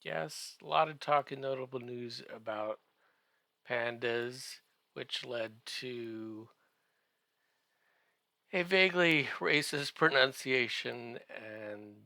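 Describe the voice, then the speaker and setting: A man giving a comedic podcast recap in a halting, drawn-out way, full of wry joking asides. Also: medium pitch, creaky, pushed and bright, dry recording, studio quality.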